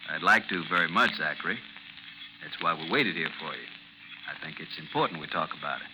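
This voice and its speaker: An actor playing a protective friend and former army officer, earnest and insistent, in 1950s radio drama style. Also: male voice, extremely low pitch, expressive, brisk. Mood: earnest, coaxing. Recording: noisy, sizzling 1950s radio recording.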